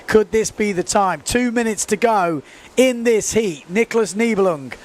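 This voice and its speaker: A man giving live sports commentary, excitedly calling out scores and time left on the clock. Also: sits high, normal speed.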